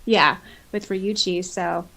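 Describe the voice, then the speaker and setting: A woman's voice: on the low side, steady tone, slow pace, vocal fry, pushed and bright. A woman co-hosting a podcast, chatting casually and informally about fan topics with a giggly streak. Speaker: podcast co-host. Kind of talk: casual fan discussion, reading listener comments aloud.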